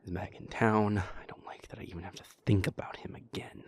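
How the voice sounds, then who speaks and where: A man voicing a weary, bitter young vampire in an audio roleplay, talking in a low, hushed voice up close to the mic. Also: steady tone, brisk, breathy edge, in a whisper. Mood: resentful. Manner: tired, resentful muttering that softens to tender.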